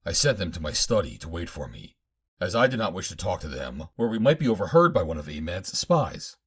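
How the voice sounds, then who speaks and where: A man's voice: low-pitched, sing-song, fairly quick, husky. A man narrating a pulp adventure audiobook in the first person, in dramatic fashion.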